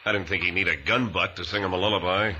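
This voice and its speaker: An actor playing a mocking, menacing gangster villain with theatrical, flowery slang in a 1950s radio drama. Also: male voice, sits low, steady tone, fast, bright, forceful tone. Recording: noisy vintage radio recording.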